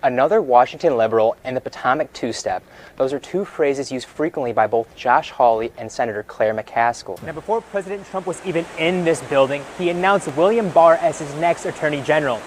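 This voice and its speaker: Male field reporter, slightly high voice, scripted news delivery, faint background noise. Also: animated, a little fast. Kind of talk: scripted news reporting, narration and stand-ups.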